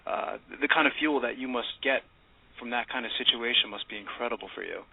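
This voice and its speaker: An effusive male radio host chats through an interview, readily agreeing as he goes. His voice is husky and quick, squeezed into narrow phone-like audio. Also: mid-range voice.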